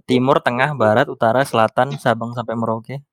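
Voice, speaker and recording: A man's voice: mid-range voice, steady tone, brisk, no echo, studio quality. A young man co-hosting a casual podcast, teasing and joking with frequent laughter. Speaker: podcast co-host.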